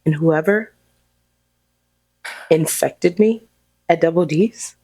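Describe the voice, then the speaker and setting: A young adult woman co-hosting a podcast, chatting in an animated, playful, slangy way while recovering from the flu. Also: low-pitched, takes it slowly, husky, poor audio. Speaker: podcast co-host. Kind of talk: casual chat.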